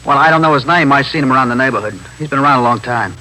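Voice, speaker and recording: A man's voice: medium pitch, racing, pushed and bright, slight background noise, lightly acted, rough recording. A man playing a defensive, street-smart small-time crook in a 1950s radio drama, full of slangy, evasive back talk. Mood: wary, defensive. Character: defensive street-smart small-time male drug pusher, cocky and irritable.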